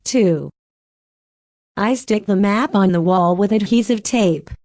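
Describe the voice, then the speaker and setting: A woman reads numbered practice sentences for an English textbook very slowly and deliberately. Her voice sits at a middle pitch. The recording is close and dry. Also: a little mellow, no echo. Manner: very slow, deliberate sentence dictation. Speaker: audio narrator for an English textbook. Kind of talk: scripted textbook listening narration.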